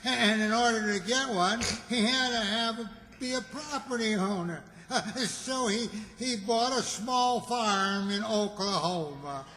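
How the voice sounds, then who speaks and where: A man's voice: sits high, unhurried, hardly any pauses, bright, forceful tone. A man playing a cheerfully oblivious, rambling small-town Southern character in old-time radio comedy, chuckly and folksy. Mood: cheerful, chuckling. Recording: echoey hall, long reverb.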